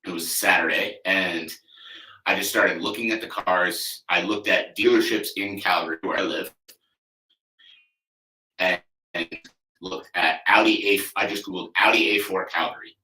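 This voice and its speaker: A man's voice: deep voice, steady tone, normal speed, pauses often, sharp tone. A man in his thirties, a coach hosting his own podcast, talking loosely and conversationally with lots of "you know" and "like" and the odd swear word. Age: 30s. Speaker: athlete coach, podcast host. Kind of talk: casual solo podcast monologue. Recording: echoey room, mic at a distance.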